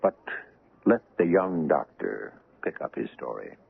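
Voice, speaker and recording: A man hosting a radio mystery drama with scripted suspense narration, deliberate and full of theatrical pauses. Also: rumbling low, sing-song, vocal fry, background noise. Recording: muffled old radio broadcast.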